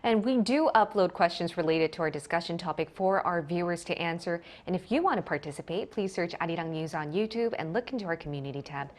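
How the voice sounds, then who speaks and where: Young woman, morning show host, expressive melody, lowish pitch, studio presenting. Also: fast talker, few pauses.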